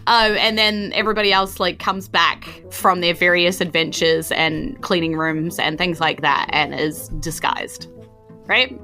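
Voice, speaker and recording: A woman's voice: fairly low pitch, fairly even intonation, unhurried, sharp tone, faint music behind. A woman acting as dungeon master, casually narrating a tabletop role-playing game to her players.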